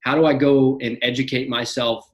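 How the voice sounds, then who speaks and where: A young man in his twenties, a podcast guest talking in an energetic casual way, often repeating and restarting words. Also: mid-range voice, moderate pace. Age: young adult. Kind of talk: casual interview chat.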